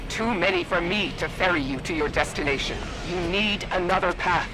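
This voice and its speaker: A man playing a dutiful military pilot in a video game, giving a measured, formal briefing. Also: high-pitched, over music, close to an everyday voice. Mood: businesslike. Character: dutiful military pilot reporting over comms. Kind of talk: cinematic video game acting.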